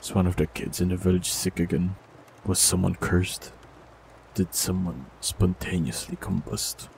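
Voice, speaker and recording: Man, very low voice, gravelly, calm and gentle, fantasy audio roleplay, faint hiss. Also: a little slow, rough recording. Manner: calm, gentle, softly teasing. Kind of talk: scripted fantasy roleplay, warlock character.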